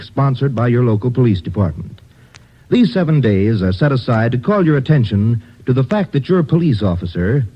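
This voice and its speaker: Husky, lively 1950 radio pitchman. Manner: salesy, persuasive announcing. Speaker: commercial announcer. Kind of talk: vintage radio commercial. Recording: dull old radio recording.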